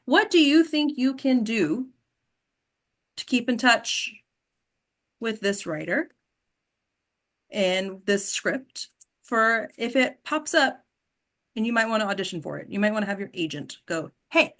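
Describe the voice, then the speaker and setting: A woman's voice: on the high side, lively intonation, unhurried, long pauses, fairly bright tone. Woman coaching an informal acting workshop. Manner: instructive, checks in with "right?". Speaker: host and acting coach leading a table read discussion.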